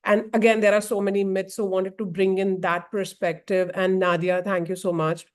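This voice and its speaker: A woman's voice: medium pitch, little pitch movement, normal speed. A woman from India moderating a webinar over a video call, earnest and rambling, with frequent fillers.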